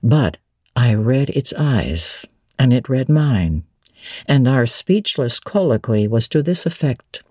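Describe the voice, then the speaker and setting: A woman voicing a brooding, teasing middle-aged gentleman, commanding and whimsical, in a dramatic audiobook reading of a classic novel. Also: extremely low pitch, very animated, takes it slowly, breathy edge, dry recording, studio quality, voice pushed down, exaggerated voice. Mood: wistful storytelling. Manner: whimsical, authoritative, theatrical.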